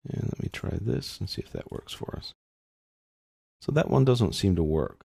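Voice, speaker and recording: Low, creaky-voiced man giving a software tutorial. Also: very animated, moderate pace, dry recording. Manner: informal, thinking aloud step by step. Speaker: 3D modeling software instructor. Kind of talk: casual screen-recorded tutorial narration.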